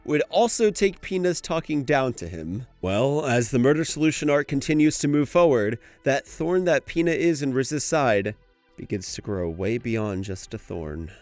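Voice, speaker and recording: A man's voice: mid-range voice, animated, moderate pace, a little mellow, dry recording, soft background music. A young man reading scripted analytical commentary in a conversational, opinionated way. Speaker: video essayist. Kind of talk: scripted video essay commentary.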